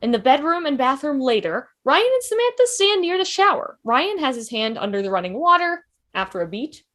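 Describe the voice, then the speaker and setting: A woman with a high, animated voice reads scripted stage directions for a table read. Her narration is expressive, delivered over a video call. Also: a little fast.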